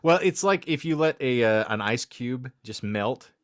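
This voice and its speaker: A man's voice: medium pitch, animated, unhurried, no room sound, studio quality. A man co-hosting a casual panel podcast, bantering with wry, mock-formal phrasing. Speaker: podcast co-host.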